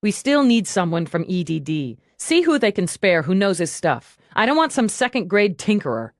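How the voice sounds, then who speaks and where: A woman's voice: medium pitch, very animated, moderate pace, no echo, clean studio sound. A woman voicing a brusque, sardonic female police lieutenant in an audiobook, giving blunt orders. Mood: brisk, commanding. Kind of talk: audiobook character dialogue.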